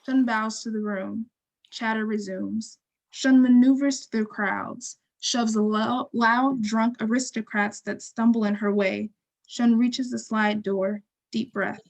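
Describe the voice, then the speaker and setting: A woman narrating stage directions from a script in a measured, neutral, descriptive way. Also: on the high side, gravelly, low-quality recording.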